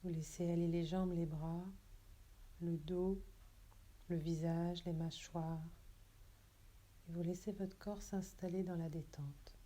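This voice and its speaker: A woman yoga teacher leading a guided relaxation meditation in a soft, breathy, hushed and soothing, unhurried way. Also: lowish voice, flat delivery.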